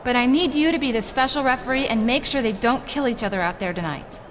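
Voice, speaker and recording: An actress playing an exasperated, pleading and bossy young wrestling manager in a video game cutscene. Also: female voice, a little high, fast talker, close to an everyday voice. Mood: earnest, pleading. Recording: heavy noise behind game audio.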